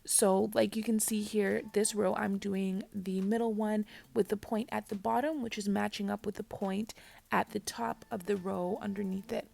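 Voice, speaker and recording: A woman narrating a hair tutorial voice-over, chatty and talking straight to her viewers, with a raspy voice. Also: medium pitch, fairly even intonation, moderate pace, warm tone. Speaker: parent vlogger. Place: home.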